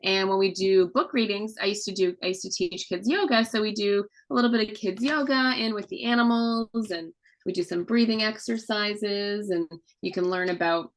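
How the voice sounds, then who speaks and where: A woman's voice: medium pitch, normal speed. A woman and mother, a children's book author, chatting casually on a video call and teaching children with questions and fun facts. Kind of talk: casual read-aloud talk with children.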